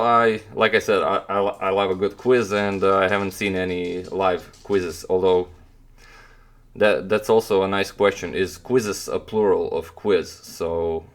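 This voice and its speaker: Young Croatian man, deep voice, halting casual streamer chat. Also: a bit bright. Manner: hesitant, many fillers and restarts. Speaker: chess streamer answering chat.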